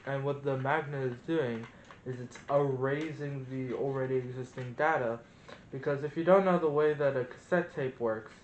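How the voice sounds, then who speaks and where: A man presenting a casual hands-on experiment, thinking aloud as he demonstrates. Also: medium pitch. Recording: roomy echo, noticeable background noise.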